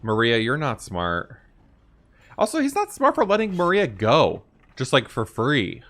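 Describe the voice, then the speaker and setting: A man's voice: mid-range voice, wildly swooping pitch, a little slow, fairly bright tone. A man hosting a gaming reaction, commenting casually in an informal, questioning way.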